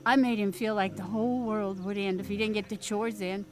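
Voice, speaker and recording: A woman's voice: mid-range voice, fairly even intonation, fairly quick, soft background music, lightly acted. A woman playing a wry, plainspoken farm mother in an audio drama, telling homespun, rambling stories in a conversational way. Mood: remorseful. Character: folksy farm mother, wry, plainspoken, remorseful about her son.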